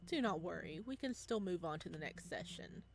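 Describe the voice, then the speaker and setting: A woman voicing a cold, controlling male therapist in a visual novel game, speaking in a flat, clipped, sharp manner. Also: on the low side, moderate pace, vocal fry, background noise. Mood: coolly placating. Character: cold, controlling male therapist running eerie ritual sessions.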